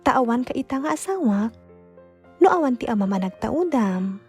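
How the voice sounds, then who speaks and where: A woman's voice: on the high side, very animated, moderate pace, faint music behind. A woman playing a meek, loving young wife in a radio drama, hesitant and devoted, with an Ilocano accent. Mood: tender, devoted.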